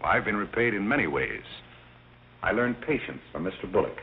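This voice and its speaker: Courteous, composed butler, 1930s screen comedy, deep and measured, narrow hissy old soundtrack. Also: male voice, animated. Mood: gracious. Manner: polite, measured, understated. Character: courteous, composed, wise butler of privileged birth. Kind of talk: 1930s film comedy acting.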